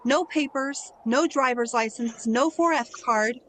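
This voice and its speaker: A woman playing a gentle, naive guardian angel in a radio play, explaining things patiently and plainly. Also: sits high, a little slow, slight background noise. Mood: patient, grave. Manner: patient, matter-of-fact explaining. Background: music.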